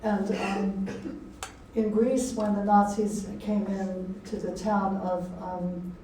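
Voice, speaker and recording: A woman's voice: mid-range voice, level tone, warm tone. Older woman in a very echoey room. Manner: halting, reflective. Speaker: author and poet giving a talk. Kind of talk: informal talk and poetry reading to an audience. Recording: very echoey room, distant mic, faint noise.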